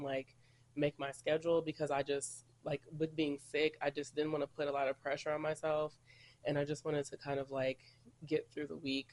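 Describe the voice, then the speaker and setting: A man's voice: fairly high pitch, darkish tone. A congested man recovering from a cold, hosting a casual solo podcast and rambling in a chatty way. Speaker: podcast host.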